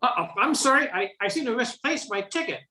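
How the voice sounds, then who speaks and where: A man's voice: very high voice, lively intonation, fairly quick, pushed and bright, heavily acted. A man playing an awkward, flustered professor in a radio comedy play, hesitant and prone to stammering. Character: awkward, flustered, charmless male professor smitten with a colleague.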